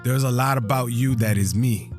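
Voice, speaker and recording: An actor playing a proud, tender middle-aged father in an audio drama, giving earnest, deliberate advice from a hospital bed. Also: mid-range voice, steady tone, natural voice. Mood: fatherly, sincere. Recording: guitar music under the voice.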